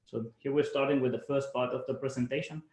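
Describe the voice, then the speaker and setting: A man's voice: mid-range voice, steady tone, fast, darkish tone, reverberant. A young man from El Salvador giving an online webinar presentation, talking casually with lots of fillers like "like" and "you know". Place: home office, based in Germany.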